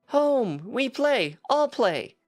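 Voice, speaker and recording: A very young, excitable storybook monster child, squeaky and far higher than a grown man's talk. The words come in tiny, eager, playful bursts over music. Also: very slow, no room sound, studio quality, heavily acted. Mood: excited, playful. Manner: short exclaimed baby-talk phrases.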